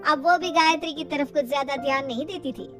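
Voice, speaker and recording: A woman's voice: high-pitched, steady tone, fairly quick. A woman narrating a scripted family story as a storyteller. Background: music.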